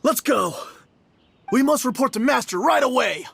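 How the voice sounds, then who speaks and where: A man playing a blustering, loyal henchman soldier in a video game, with a gruff, strained bark. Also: very high voice, expressive, normal speed, a bit bright, slight background noise, full character voice. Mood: urgent retreat.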